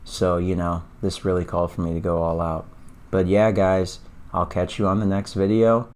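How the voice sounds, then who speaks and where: Low-voiced hoarse man vlogging. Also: level tone, moderate pace, a little mellow. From Atlanta, US. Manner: enthusiastic, repeats words for emphasis. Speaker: esports news vlogger. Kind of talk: casual vlog talk to camera.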